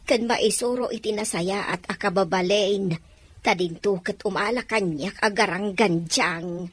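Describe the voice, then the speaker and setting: A woman's voice: mid-range voice, unhurried, breathy edge. A woman playing a pleading, deferential mother in her forties in an Ilocano audio drama. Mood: earnest, deferential. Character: pleading 46-year-old mother giving up her baby.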